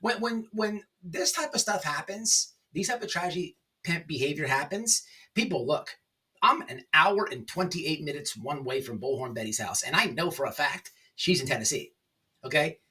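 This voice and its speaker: Man, slightly high voice, sarcastic opinion host, emphatic repetition, a bit off the mic. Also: lively intonation, sharp tone, far from the mic. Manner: halting, repetitive, emphatic delivery. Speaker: online commentary show host. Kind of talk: informal opinion commentary.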